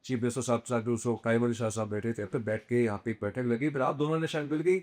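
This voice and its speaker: A man's voice: medium pitch, fairly even intonation, fast, darkish tone. A man interviewing a politician, questioning him and pushing back on his answers. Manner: challenging, pushes back with questions.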